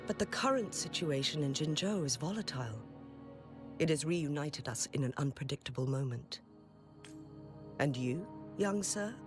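An actress playing a dignified retired noblewoman in a game, courteous and formal, with measured, calm authority. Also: low-pitched, over music. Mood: grave. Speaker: game character. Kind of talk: scripted game dialogue.

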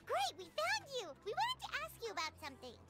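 A woman voicing a tiny, eager, bubbly fairy companion in a video game, with a squeaky childlike voice. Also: huge pitch swings, fast talker, pushed and bright, exaggerated voice. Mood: excited, eager. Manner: bubbly, exclaiming. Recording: background music under the voice.

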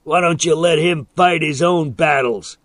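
A man voicing a big, angry bully in a story, shouting, taunting and threatening in a raised voice. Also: on the high side, measured pace, dry recording, clean studio sound, voice pushed up.

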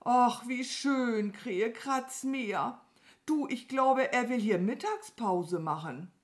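A woman voicing a carefree, good-natured, childlike cow in a children's story, with a naive and unhurried manner. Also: a little high, sing-song, pitched above the performer's own voice. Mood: contented. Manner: naive, unhurried, moos before speaking.

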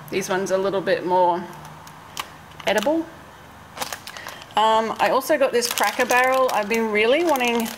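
Casual Australian woman, slight background noise. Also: mid-range voice. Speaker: vlogger. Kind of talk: casual chat, narrating a grocery haul.

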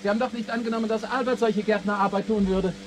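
A man's voice: high-pitched, fairly even intonation, fast, noisy background, natural voice. A man playing a dutiful robot gardener, formal and matter-of-fact, in a German radio play from around 1970. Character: Archie, dutiful robot gardener built by another robot.

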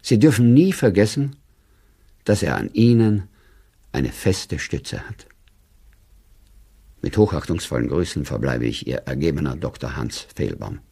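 An actor playing a formal, condescending court chairman, reading out a letter of condolence with stiff, measured courtesy in a radio drama. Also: a bass voice, big pitch swings, gravelly, dark tone, husky, full character voice. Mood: formal sympathy.

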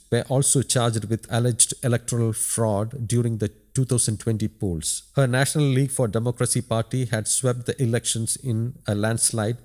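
Guy doing a news voiceover, reading from a script, mid-pitched voice. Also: a little mellow. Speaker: news narrator.

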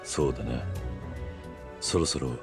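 An actor playing a stoic middle-aged yakuza veteran in a video game, terse, measured and calmly commanding. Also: male voice, extremely low pitch, gravelly, music in the background, heavily acted. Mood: grave, resolute. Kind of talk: Japanese video game voice acting.

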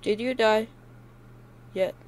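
Slow, stuffy young man gaming. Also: high-pitched, fairly even intonation, a little hiss or hum. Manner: rambling, hesitant, goofy self-correcting. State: stuffy from a cold. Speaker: gaming YouTuber. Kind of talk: casual gameplay commentary.

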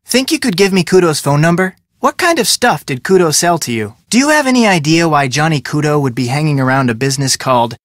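A man's voice: on the high side, lively intonation, fast, few pauses, fairly bright tone, no echo, clean studio sound. A man playing an inquisitive, level-headed young American amateur detective in a video game, speaking in a casual, probing way. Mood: curious, friendly. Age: young adult. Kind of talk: scripted game dialogue.

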